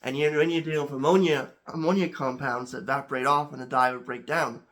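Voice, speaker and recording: A man hosting a home chemistry channel talks casually to camera, a little congested, halting with many restarts and repeated words. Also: mid-range voice, fairly even intonation, a bit bright.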